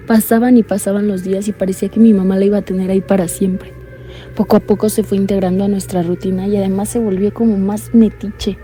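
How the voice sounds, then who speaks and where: An actress playing a blind young woman calling in to tell a frightening story, talking in a low, earnest, uneasy way. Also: mellow, natural voice. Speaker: caller. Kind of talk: radio drama acting.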